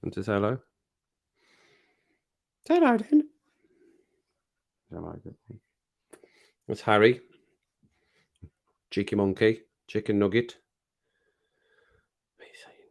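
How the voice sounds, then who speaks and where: A man with a slightly low, faintly croaky voice rambles through a relaxed livestream from home. He chats loosely with viewers and laughs easily between remarks. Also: slow pace, long pauses. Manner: rambling, chatty, laughs often. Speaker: livestream host reading chat. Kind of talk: casual chat to a live audience. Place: at home with his pets. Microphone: home streaming mic with compressor.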